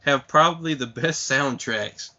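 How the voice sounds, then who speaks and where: A man in his late twenties talks as a guest on a casual podcast, earnestly reminiscing. His pitch is medium and unremarkable. The sound is dull and lo-fi. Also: a little slow, pushed and bright. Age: 20s. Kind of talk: casual chat.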